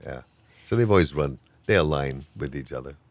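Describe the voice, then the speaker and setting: A man's voice: a bass voice, animated, fairly quick, no room sound. An older man, a professor of Hawaiian language, talking casually and with good humor in an interview, wandering from point to point. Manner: rambling, good-humored, self-deprecating.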